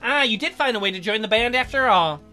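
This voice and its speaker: A woman voicing a mild, awkward, concerned young man in a visual novel, hesitant and low-key. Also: animated, fast talker, sharp tone, faint music behind.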